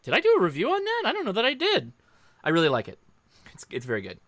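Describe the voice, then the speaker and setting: A man's voice: high-pitched, wildly swooping pitch, fast, fairly bright tone, no room sound. An American man hosting a casual livestream, informally joking and talking directly to viewers as he answers their questions. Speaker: livestream host.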